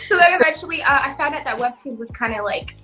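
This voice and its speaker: A young adult woman co-hosting a casual podcast, telling relatable stories to friends in an animated way. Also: high voice, brisk, faint music behind, poor audio. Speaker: podcast co-host. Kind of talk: casual chat among friends.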